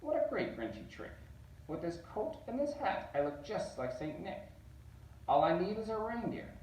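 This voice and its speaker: A man's voice: sits high, big pitch swings, moderate pace, a little mellow, voice pushed up. A man voicing the Grinch, a sly, grumpy green creature who schemes with glee. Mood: gleeful. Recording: roomy echo, distant mic.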